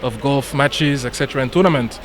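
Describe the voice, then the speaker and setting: A man's voice: medium pitch, brisk. Casual male guest from a French island, hesitant fillers, light background noise. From French Caribbean island. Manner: many fillers and repeated yeahs. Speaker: guest in the commentary box. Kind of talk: casual chat.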